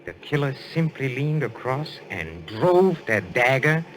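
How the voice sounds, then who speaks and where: An actor playing a suave, assured detective in a radio mystery, speaking in a courtly, deliberate way. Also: male voice, medium pitch, sing-song, a bit bright. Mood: grave, revealing. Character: suave, assured French detective, male, posing as a medium. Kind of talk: old-time radio mystery acting.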